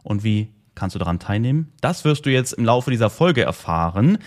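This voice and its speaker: A man of middle pitch reads a short scripted notice straight to the listeners. His delivery is direct and clear. It sounds like a promo read on a podcast. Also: sing-song, moderate pace. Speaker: podcast announcer. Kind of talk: scripted announcement.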